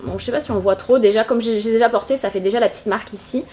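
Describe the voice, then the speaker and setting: A woman's voice: fairly high pitch, very fast, darkish tone, slight background noise. A woman vlogger with a stuffy, cold-congested voice, chatting casually about her purchases in a rambling way full of hesitations. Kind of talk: casual shopping haul chat.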